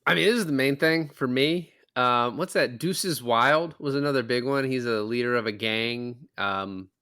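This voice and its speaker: A man's voice: mid-range voice, normal speed, bright, forceful tone, smooth, no room sound, studio quality. A man talking casually about films as a podcast co-host, explaining things in a conversational way. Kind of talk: casual film discussion.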